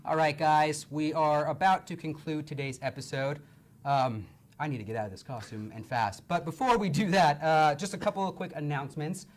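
Young male stream host, a bit hoarse, upbeat, lapel mic in a studio. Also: on the high side, moderate pace. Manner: upbeat, filler-heavy presenting. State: hoarse from long talking. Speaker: livestream host. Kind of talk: casual game-show chat. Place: indoor studio set.

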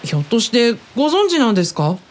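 A woman's voice: fairly high pitch, very animated, fast. A woman playing a polite, earnest and hesitant younger colleague in an audio drama. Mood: polite, grateful. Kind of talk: Japanese audio drama dialogue.

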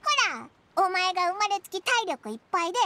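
A woman voicing a tiny, cheeky, gluttonous fairy companion in an anime game, with a squeaky childlike voice and brash, whiny, perky delivery. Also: big pitch swings, fast talker, very noisy. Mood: cheerful, cheeky. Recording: music under the voice. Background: game music.

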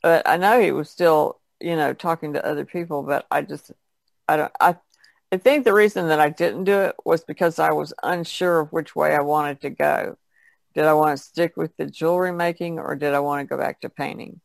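A woman speaks casually as a podcast guest. Heavy vocal fry runs through her low voice, and the recording is dry and free of echo. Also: fairly even intonation, moderate pace. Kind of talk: casual chat.

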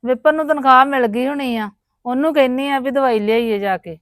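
A woman's voice: high voice, brisk, dry recording, studio quality, natural voice. An actress playing a weary mother-in-law in a family drama, scolding her daughters-in-law and complaining of knee pain. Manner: scolding, then gentle.

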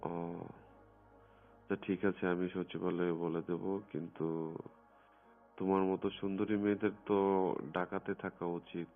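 A man with a deep voice talks privately on the phone, coaxing and insistent. The sound is thin and muffled like a lo-fi call recording, with music behind him. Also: flat delivery, normal speed, long pauses. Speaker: phone caller in a recorded conversation.

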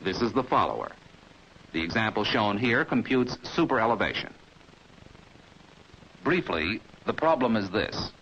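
An American man reading a scripted 1950s training film narration in a measured, precise, didactic manner, as heard on an old film soundtrack. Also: on the low side, big pitch swings, fairly bright tone, muffled recording, a little hiss or hum.

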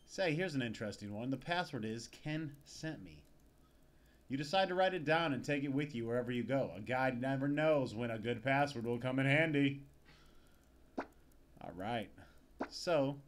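A man's voice: mid-range voice, normal speed, long pauses. A man doing a casual, sardonic, sweary playthrough, reading game text aloud. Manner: foul-mouthed, sardonic. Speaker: video game let's player. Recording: game music behind the voice. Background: video game music.

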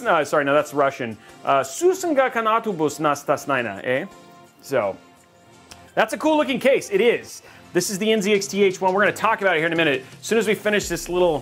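A man's voice: high-pitched, fairly bright tone, slightly rough. A man from Texas hosting a casual livestream, reading chat and joking with viewers, rambling and restarting his sentences.

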